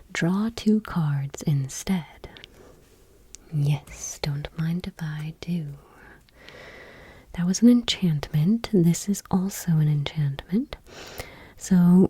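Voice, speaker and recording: A woman doing ASMR, reading gently and unhurriedly in a breathy whisper, with casual asides. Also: on the low side, no room sound. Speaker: ASMR host.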